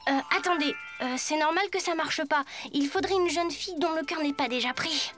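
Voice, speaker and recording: A woman's voice: high-pitched, fairly quick, fairly bright tone, faint music behind. A woman voicing a resourceful, earnest young schoolboy hero in a cartoon, eager in manner. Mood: thoughtful. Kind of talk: animated cartoon dubbing.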